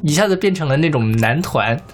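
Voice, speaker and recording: A man's voice: a little high, lively intonation, brisk. A man co-hosting a music podcast, chatting casually about songs. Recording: music playing behind the talk. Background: music with guitar.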